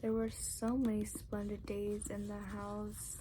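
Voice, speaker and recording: A woman reading a story aloud in ASMR style, speaking softly and often dropping into a whisper. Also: mid-range voice, monotone, measured pace, background noise. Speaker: ASMR reader.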